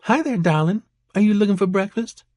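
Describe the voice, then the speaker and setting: Audiobook waitress, played by a male narrator: Georgia drawl, raised pitch, sugary flirt, bubbly and familiar. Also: fairly quick, darkish tone, no echo, clean studio sound. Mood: perky. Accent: Georgia Southern. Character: perky flirtatious waitress, Southern woman who calls customers sugar. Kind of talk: audiobook character voice.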